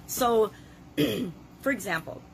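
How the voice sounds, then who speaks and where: A middle-aged woman with a gravelly voice from a cold, leading a self-help challenge in an earnest, conversational way. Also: mid-range voice, animated, slow, slight background noise, low-quality recording. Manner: earnest, conversational, with frequent restarts. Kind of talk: motivational talk to camera.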